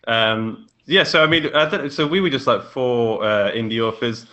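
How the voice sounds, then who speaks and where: A man's voice: mid-range voice, moderate pace, pushed and bright. A man with a British accent talking casually as an indie author guest on a podcast. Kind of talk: casual interview chat.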